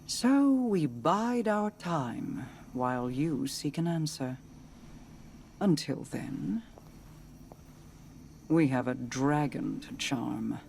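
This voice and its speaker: An actress voicing a regal, calculating queen in a video game, speaking in a measured, commanding way. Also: sits low, animated, vocal fry, a little mellow, slight background noise, exaggerated voice. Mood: resolved.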